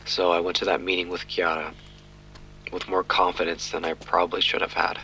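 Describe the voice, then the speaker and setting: A man's voice: low voice, level tone, fairly quick, pushed and bright, slight background noise, poor audio. A man playing a troubled detective narrating his own case on tape in an audio drama, subdued and reflective. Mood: rueful.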